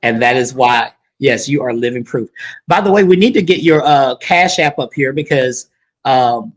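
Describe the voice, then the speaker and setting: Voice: medium pitch, animated, moderate pace. A talk show host in their fifties chatting casually with a guest, sassy and folksy, repeating points for emphasis. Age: 50s.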